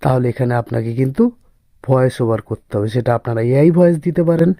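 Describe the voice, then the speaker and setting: A man's voice: mid-range voice, quick pace, warm tone, no room sound, studio quality. A man narrating a step-by-step screen tutorial as host, often repeating himself and addressing viewers as friends.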